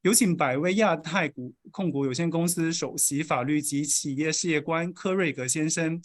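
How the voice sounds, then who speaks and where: A man emcees an awards ceremony with a polished, formal delivery. He reads out names and titles. His voice sits fairly high for a man. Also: moderate pace. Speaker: event emcee.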